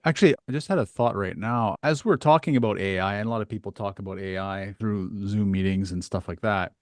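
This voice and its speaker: A man's voice: lowish voice, a little fast, no room sound, studio quality. A man hosting a casual interview, thinking aloud and phrasing his questions tentatively. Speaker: podcast host, interviewer.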